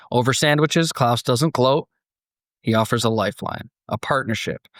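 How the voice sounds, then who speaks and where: A man's voice: mid-range voice, a bit bright, no room sound, studio quality. A man hosting a podcast, moving between dramatic story narration and casual discussion.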